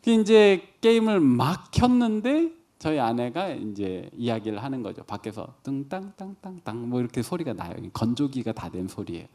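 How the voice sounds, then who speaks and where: A man's voice: medium pitch, expressive, normal speed, few pauses, a little mellow. A man in his thirties preaching a conversational sermon as a pastor, posing questions and answering them himself. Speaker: pastor preaching to young adults.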